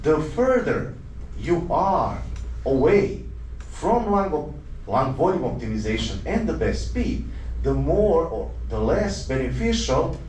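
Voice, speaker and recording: A man's voice: on the high side, very animated, slow, darkish tone, slight background noise. A man from Croatia, a doctor giving an earnest conference lecture full of rhetorical questions and small self-corrections. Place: lecture hall. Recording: roomy hall, mic at a distance.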